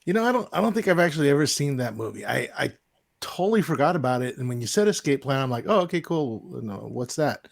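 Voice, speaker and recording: A man's voice: medium pitch, lively intonation, fast talker, creaky. A man co-hosting a casual podcast, rambling and searching for words. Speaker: podcast co-host.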